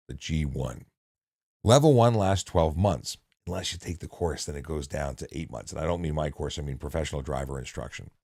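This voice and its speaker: A man reading instructional material in a measured, deliberate way, with casual off-the-cuff asides. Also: very deep voice, creaky, warm tone, dry recording, studio quality. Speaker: narrator reading a handbook with commentary.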